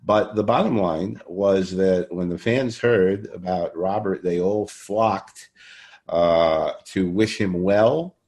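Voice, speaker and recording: An older man hosts a conversational interview, haltingly and often repeating his phrases. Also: deep voice, a little mellow. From Brooklyn, New York. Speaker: podcast interviewer.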